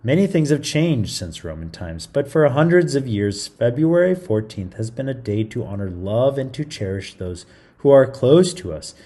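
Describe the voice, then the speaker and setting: Husky, very animated man reading aloud. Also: mid-range voice, normal speed. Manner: theatrical, playful, interjecting comments to young listeners. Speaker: children's story reader. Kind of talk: animated read-aloud storytelling with playful asides.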